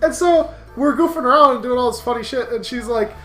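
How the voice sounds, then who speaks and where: A man co-hosting a comedic let's play, chatting casually in a goofy, excitable way and laughing as he talks. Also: very high-pitched, fairly quick. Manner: goofy, animated storyteller, laughs through speech and bursts into shouts. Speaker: co-host of a visual novel let's play. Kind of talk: casual comedic banter while reading a game aloud. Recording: roomy echo with music behind.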